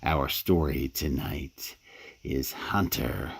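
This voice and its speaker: Super deep gravelly narrator guy, talks really slow, measured radio drama reading.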